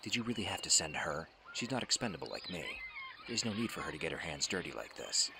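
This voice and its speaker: Gruff commando, very deep gravelly voice, lively intonation, stern, audio drama, noisy insect backdrop. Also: male voice, fast talker, exaggerated voice. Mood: terse, sardonic. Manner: terse, commanding, dry sarcasm. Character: gruff, world-weary male special-forces soldier, stern and sardonic.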